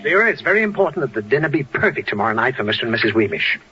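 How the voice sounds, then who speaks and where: A man's voice: medium pitch, very animated, rapid-fire, sharp tone, soft background music. A man playing an irritable, sarcastic husband and father in a 1940s radio comedy, with emphatic, sardonic delivery. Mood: fussy. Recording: dull, hissy 1940s radio broadcast.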